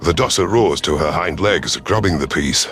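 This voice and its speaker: A man's voice: low voice, expressive, moderate pace, a bit bright, soft background music. A man narrating a first-person science fiction story in a dramatic audiobook style.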